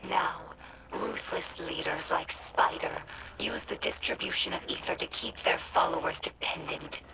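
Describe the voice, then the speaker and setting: A woman voicing an earnest young female alien scribe in a video game audio log, explaining in a measured, formal and thoughtful way with a breathy, creaky voice. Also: lowish voice, background noise. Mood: earnest, grave. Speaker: scribe recording audio logs for humans.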